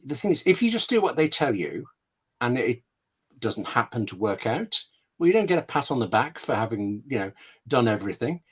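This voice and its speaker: A man's voice: medium pitch, sing-song, brisk, slightly rough. A man answering questions as a scriptwriter guest in a conversational way, telling anecdotes and thinking aloud with asides and questions to himself. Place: home room with books, on a video call.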